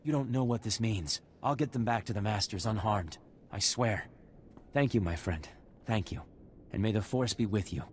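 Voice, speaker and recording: An actor playing an earnest, deferential young Jedi explorer in a video game. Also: male voice, on the low side, moderate pace, slight background noise, natural voice. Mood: grateful, earnest. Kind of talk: video game character acting.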